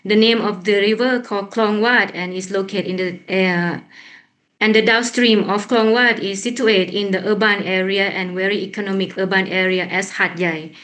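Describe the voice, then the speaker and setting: A woman from Thailand with a Thai accent gives an academic presentation in a halting way, often repeating words. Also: mid-range voice, fairly even intonation, fairly bright tone, poor audio. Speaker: conference presenter, researcher.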